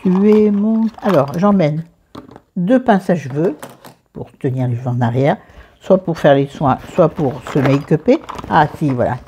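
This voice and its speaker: A woman's voice: low-pitched, expressive, measured pace, dark tone, muffled recording, a little hiss or hum. A middle-aged woman with a southern French accent, chatting casually and self-mockingly about her makeup and skincare. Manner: chatty, self-mocking, talks to viewers and friends by name. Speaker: beauty vlogger.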